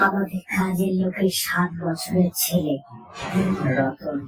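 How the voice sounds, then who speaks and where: A woman narrating a horror story in the first person, in a suspenseful, theatrical way with measured phrases. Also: mid-range voice. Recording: echoey, distant, music underneath.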